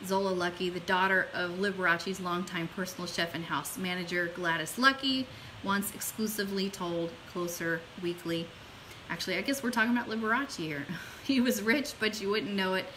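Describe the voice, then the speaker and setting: Middle-aged woman, show host, bright forceful voice, chatty and enthusiastic, slight hiss behind. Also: fairly low pitch, normal speed, poor audio. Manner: enthusiastic, informal. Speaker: host of an online radio show. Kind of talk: chatty livestream hosting, partly reading an article aloud. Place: small room indoors at home or office. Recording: steady background hiss.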